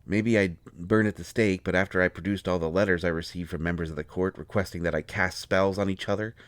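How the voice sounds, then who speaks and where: Guy acting as a flustered male royal doctor in a fantasy roleplay, lowish voice, super dry sound, protective, exasperated, kinda defensive. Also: fairly even intonation, a little fast, clean tone, no room sound, close to an everyday voice. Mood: bitter, sardonic. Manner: pleading, exasperated, swinging from soft explaining to flustered outbursts. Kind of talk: dramatic audio roleplay, one side of a conversation.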